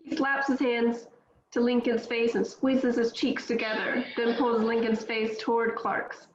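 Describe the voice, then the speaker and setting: A woman reading stage directions in a steady, matter-of-fact way at a table read. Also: a little high, measured pace. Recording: echoey, dull, faint noise.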